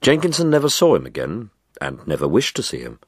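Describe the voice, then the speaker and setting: Male narrator for dark short fiction: low register, measured yet highly varied intonation, dry studio-style sound. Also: huge pitch swings, husky, no room sound. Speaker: story reader. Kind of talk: dramatic scripted narration of a short story.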